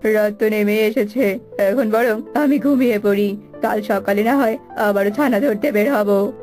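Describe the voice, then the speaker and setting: Woman doing a menacing cartoon demon, pitched down. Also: unhurried, a little mellow, soft background music, natural voice. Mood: gloating. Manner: gloating, bullying threats. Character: menacing male demon who kidnaps bird chicks. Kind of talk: children's fairy-tale cartoon voice.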